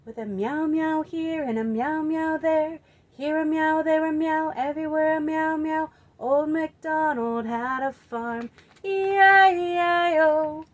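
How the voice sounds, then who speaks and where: High-voiced woman leading children's storytime. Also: highs cut off. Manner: playful, expressive, drawn-out emphasis. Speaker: library storytime presenter.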